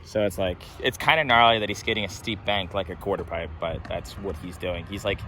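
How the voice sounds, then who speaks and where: A man's voice: sits low, fairly even intonation, brisk, pushed and bright, slight background noise. A man vlogging a skate session outdoors, rambling in a casual, self-mocking way and swearing freely. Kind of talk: casual commentary. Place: outdoors at a street skate spot.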